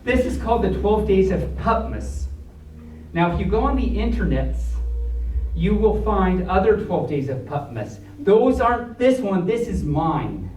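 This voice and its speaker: A man's voice: high-pitched, sing-song, unhurried, a little mellow. A man reading a storybook aloud to a live audience in a playful, theatrical way, with chatty asides. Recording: roomy, distant mic, faint background noise.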